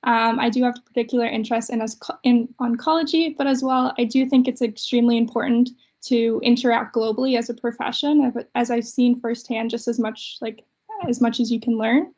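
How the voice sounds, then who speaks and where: Young Canadian woman as student webinar host, fairly high voice, slightly muffled call audio. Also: fairly even intonation, fairly quick, a little mellow. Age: young adult. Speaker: student host opening an online session. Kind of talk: introductory hosting of a webinar. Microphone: video call. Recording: dull video call audio.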